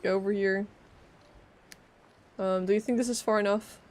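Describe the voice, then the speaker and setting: A woman's voice: medium pitch, steady tone, normal speed, a little mellow. A woman livestreaming a game, casually commenting, joking to herself and reading dialogue aloud in a playful way. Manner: playful, joking, muttering to self.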